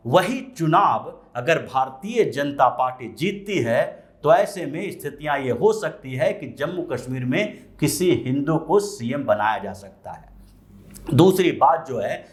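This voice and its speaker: A man delivering explanatory political commentary as a news commentator, sometimes posing rhetorical questions. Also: a little high.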